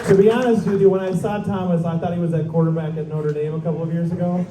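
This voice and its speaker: Joking middle-aged emcee in echoey hall. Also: male voice, sits high, steady tone, fast talker, recorded from a distance, heavy background noise. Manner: jokey, teasing, upbeat. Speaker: event emcee. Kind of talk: emcee banter introducing players. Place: large indoor event hall. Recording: echoey hall from afar with crowd.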